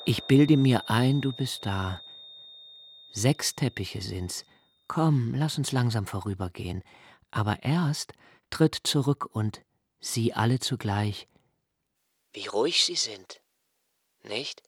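An actor playing a young nobleman who narrates a literary radio play in a slow, hushed, reflective way. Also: mid-range voice, expressive, husky, no echo, clean studio sound, exaggerated voice. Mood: musing. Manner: slow, hushed and reflective, dropping to near whispers. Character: young nobleman Malte, brooding poetic narrator.

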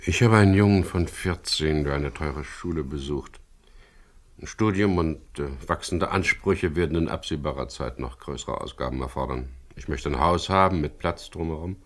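An actor playing a wry, stubborn adventurer who narrates a radio crime drama in a dry, sardonic manner. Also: male voice, very deep voice, normal speed. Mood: firm. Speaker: narrator and lead character.

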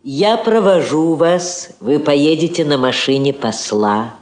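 A brisk, polite male embassy aide. His voice is fairly high and expressive. It has the roomy sound of a vintage radio drama. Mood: courteous. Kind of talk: Soviet stage radio drama acting.

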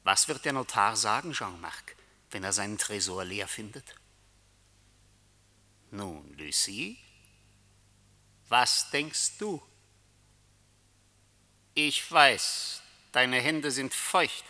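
Death as a grand solemn coachman, radio drama, very sharp voice, big long reverb. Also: medium pitch, big pitch swings, heavily acted. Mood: menacing, probing. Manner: solemn, declamatory, deliberate. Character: Death personified, solemn, majestic male coachman come to collect souls. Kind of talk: German radio play acting. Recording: long reverberant hall echo.

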